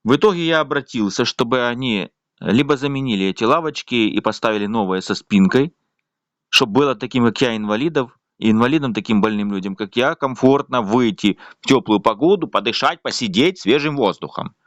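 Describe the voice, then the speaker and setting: A man's voice: medium pitch, expressive, fairly quick, dry recording, lo-fi sound. A man with a voice, complaining at length in a casual, rambling video monologue full of fillers. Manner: indignant, rambling, with frequent fillers. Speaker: vlogger.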